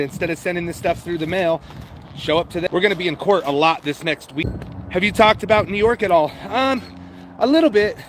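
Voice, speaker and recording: A man chatting casually and informally to viewers as a livestream host, swearing now and then and addressing them directly. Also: high-pitched. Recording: noisy outdoor street and vehicle background.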